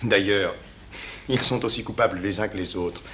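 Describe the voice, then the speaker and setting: A man's voice: fairly low pitch, normal speed, bright, forceful tone, a little hiss or hum. An actor playing an imperious young Roman emperor in a mid-century French radio play, sardonic, commanding and declamatory in his menacing logic. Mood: cold, commanding.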